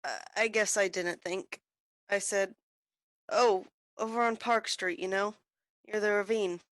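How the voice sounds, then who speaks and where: A small-town druggist, acted by a woman in an old-time radio drama, talkative and careless, with a medium-pitched voice. The recording is close and dry. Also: fairly even intonation, measured pace, no echo, close to an everyday voice. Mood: uneasy, apologetic. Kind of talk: 1940s radio-play acting.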